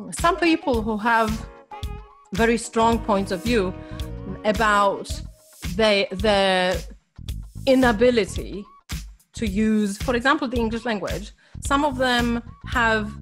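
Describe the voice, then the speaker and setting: A woman with a Polish accent, a language coach giving a hesitant motivational talk with many ums and restarts to her course members. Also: fairly high pitch. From Poland.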